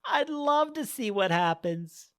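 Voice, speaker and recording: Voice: medium pitch, sing-song, takes it slowly, voice pushed up, exaggerated voice. A narrator doing a kindly, mischievous old goddess. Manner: gentle, playful.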